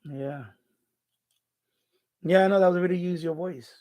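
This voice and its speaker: A man's voice: high-pitched, normal speed, mellow. A man with a Bronx New York accent, hosting a live stream and chatting casually while he reads viewer comments aloud, rambling and restarting his words. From born in Puerto Rico, raised in the Bronx.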